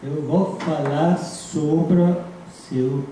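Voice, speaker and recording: A middle-aged man with an Italian accent gives a hesitant lecture. He speaks slowly and searches for words, in a very dark, muffled tone. The room echoes heavily around him. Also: fairly high pitch, fairly even intonation. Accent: foreign, Italian. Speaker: guest academic lecturer. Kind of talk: halting lecture in a non-native language. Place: large dim seminar room. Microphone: handheld microphone into room speakers, recorded by distant camera. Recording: echoey room, distant mic, background noise.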